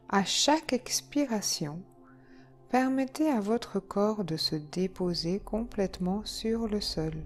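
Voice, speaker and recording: A woman leading a guided yoga nidra relaxation, speaking calmly and soothingly in short phrases with long pauses. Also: lowish voice. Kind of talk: guided relaxation meditation, slow instructions. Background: soft background music.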